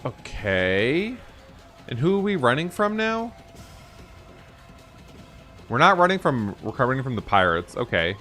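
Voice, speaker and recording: Male gaming host, mid-pitched, very varied intonation, casual, questioning reaction commentary. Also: sing-song, measured pace, long pauses, pushed and bright, faint music behind. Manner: informal, questioning.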